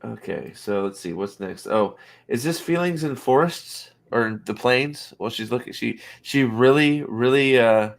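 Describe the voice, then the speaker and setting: A man's voice: mid-range voice, expressive, measured pace, vocal fry, hardly any pauses. A man casually reacting to a TV episode, blunt and profane, rambling with many restarts. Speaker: show host.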